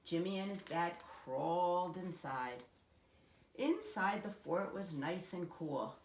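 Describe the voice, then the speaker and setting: A woman narrates a picture book for children with lively, swinging intonation. Her voice sits a little low and carries the echo of the room. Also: slow pace, slight background noise. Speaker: children's story reader. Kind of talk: animated picture-book read-aloud. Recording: echoey room.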